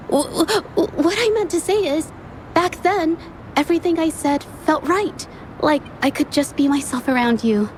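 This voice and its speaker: An actress playing a shy, earnest young woman painter in a game, speaking softly and stammering when flustered. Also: high voice, slight background noise, close to an everyday voice. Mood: grateful. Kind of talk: game voice acting. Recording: game audio with faint music underneath.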